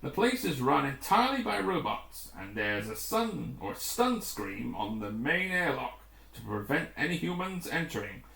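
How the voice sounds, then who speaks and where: A man's voice: medium pitch, big pitch swings, unhurried, creaky, pushed and bright, full character voice. A man reading the part of an earnest rescue crew technician in a storybook, reporting in a matter-of-fact way. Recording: roomy, echoing room.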